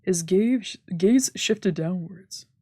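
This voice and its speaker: A woman streamer reading a visual novel aloud in expressive, dramatic narration with casual asides. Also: fairly low pitch, slow, husky, no room sound, studio quality. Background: game background music.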